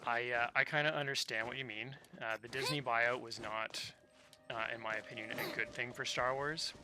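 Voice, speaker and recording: A man's voice: medium pitch, steady tone, moderate pace, music in the background. A man livestreaming a game, chatting casually as he comments on the play. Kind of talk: casual chat commentary over gameplay. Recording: noisy stream with clicks.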